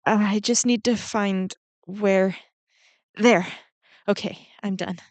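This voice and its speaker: Gentle, flustered ant queen, voiced by a woman. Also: mid-range voice, fairly even intonation, slow, dry recording. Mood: caring, flustered. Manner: gentle, hesitant, rambling with small nervous laughs. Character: kindly, flustered giant four-armed ant queen. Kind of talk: scripted roleplay audio drama, addressing the listener.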